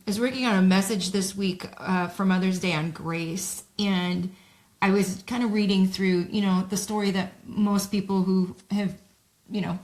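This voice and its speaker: A woman co-hosting a faith podcast, reflecting aloud in a relaxed chat. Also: mid-range voice. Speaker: co-host, pastor.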